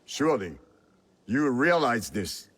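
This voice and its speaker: An actor playing a stern, courtly middle-aged samurai retainer with a husky voice and formal, authoritative delivery in a game drama. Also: male voice, medium pitch, sing-song, takes it slowly, fairly bright tone, full character voice. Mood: grave, courteous. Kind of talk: dramatic video game acting.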